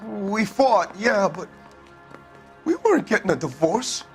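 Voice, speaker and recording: Defensive hot tempered husband getting grilled by cops in a game, blunt, slightly high voice. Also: male voice, big pitch swings, unhurried, faint music behind, heavily acted. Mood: agitated, defensive. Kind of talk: acted dramatic video game dialogue.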